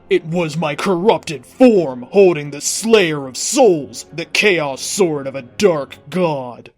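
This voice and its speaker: A man with a slightly high voice reads a story aloud in a dramatic narrator's style. His delivery is deliberate and theatrical, and faint music plays behind him. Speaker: host reading fan fiction aloud. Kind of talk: dramatic scripted story reading.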